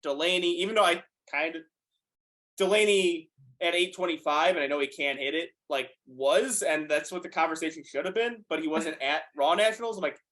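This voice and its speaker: Young man in his twenties, fast, animated podcast guest with a somewhat high voice. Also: poor audio. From United States. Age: 20s. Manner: fast, animated, swears freely. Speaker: guest powerlifter. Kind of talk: casual podcast chat.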